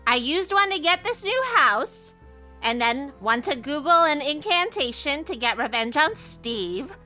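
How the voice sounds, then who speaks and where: A woman's voice: sits high, animated, a little slow, sharp tone, soft background music, full character voice. An actress playing a blunt, cranky, vengeful old witch in a comedy horror radio play. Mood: matter-of-fact. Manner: blunt, dramatic, scolding. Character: cranky old witch woman, vengeful, foul-mouthed homeowner.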